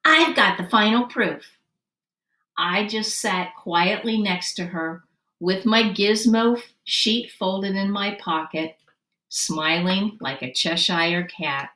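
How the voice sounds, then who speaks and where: A woman reading a children's story aloud, narrating expressively and deliberately and acting out the dialogue. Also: on the low side. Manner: animated, deliberate, acts out dialogue. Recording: roomy, distant microphone.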